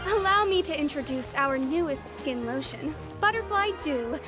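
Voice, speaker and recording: A woman's voice: high voice, animated, moderate pace. A woman playing a polished, upbeat product promoter in a video game, announcing in a formal sales manner. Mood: promotional, proud. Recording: faint music behind the voice.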